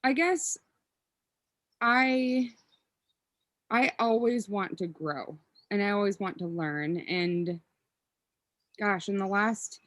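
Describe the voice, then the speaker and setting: A woman's voice: medium pitch, slow, long pauses, a bit bright. A woman in her thirties from Minnesota, chatting casually as a podcast guest, rambling with ums and self-corrections. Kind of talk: casual interview chat.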